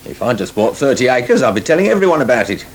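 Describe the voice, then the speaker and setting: Very animated, jovial pub landlord. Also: male voice, medium pitch, fast talker. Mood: reassuring. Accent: English. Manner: jovial, chatty. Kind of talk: radio soap acting. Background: music.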